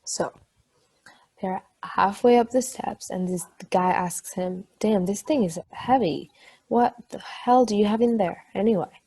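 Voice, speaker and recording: A woman playing a chatty partner in a table read, telling an anecdote in a rambling, conversational way with a slightly husky voice. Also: mid-range voice, unhurried, mellow, rough recording. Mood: amused storytelling.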